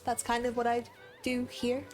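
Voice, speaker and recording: A woman's voice: fairly high pitch, monotone, moderate pace, a little mellow, soft background music. A young woman with an Australian accent drifting toward American, chatting in a rambling way while she streams a game and laughs often. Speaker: gaming streamer. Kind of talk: casual livestream chat while playing.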